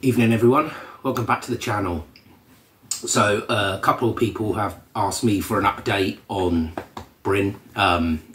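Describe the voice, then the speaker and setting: A man with a cold and a slightly croaky voice, hosting a casual vlog update in a relaxed, rambling way with many ums. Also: lowish voice, normal speed. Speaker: channel host. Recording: roomy echo from a distant mic.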